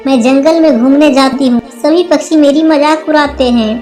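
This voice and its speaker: An earnest female cartoon bird, voiced by a woman, talks in a high voice over faint music. She is affectionate, with a plaintive edge. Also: normal speed, a little mellow, natural voice. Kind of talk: Hindi cartoon story dialogue.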